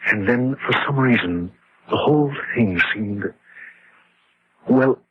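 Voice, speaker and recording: A man's voice: mid-range voice, lively intonation, slow pace, husky. An actor playing an exhausted, grieving man who narrates his haunting in a confessional way in an old radio horror drama. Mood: numb, haunted. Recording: dull lo-fi old radio recording.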